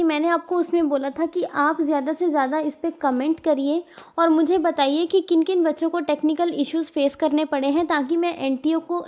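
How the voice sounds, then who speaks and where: A woman's voice: high voice, darkish tone, dull audio. An Indian woman giving students an informal explanatory update as a coaching educator, in an earnest, emphatic manner that repeats points for clarity.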